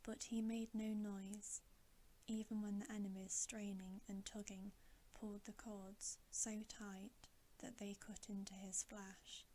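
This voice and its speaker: Slow, whispering woman reading aloud. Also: medium pitch, flat delivery, slight background noise. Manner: hushed, mostly whispering, gentle and deliberate. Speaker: ASMR reader. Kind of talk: whispered bedtime ASMR storybook reading.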